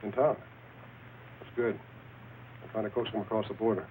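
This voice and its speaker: A man's voice: fairly low pitch, steady tone, normal speed, darkish tone, slight background noise, close to an everyday voice. An actor with an American accent playing a laconic, wry undercover lawman in an old radio noir drama. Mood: cool, businesslike. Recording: tinny old radio recording with music.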